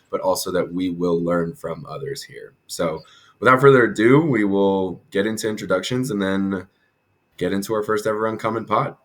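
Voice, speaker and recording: A man hosting a podcast over a video call, giving an upbeat, casual introduction with fillers. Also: sits low. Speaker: podcast host.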